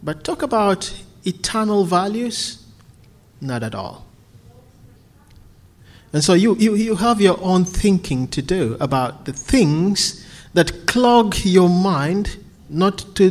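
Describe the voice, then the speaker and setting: A man from Kenya, preaching earnestly and then answering questions, often repeating words as he restarts. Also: high-pitched. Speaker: visiting preacher.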